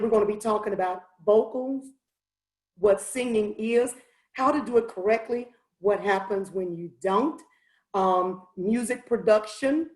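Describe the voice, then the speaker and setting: Woman, 50s, raspy, enthusiastic presenter, echoey room, video call audio. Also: a little high, darkish tone. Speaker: music instructor presenting a master class. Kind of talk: online presentation.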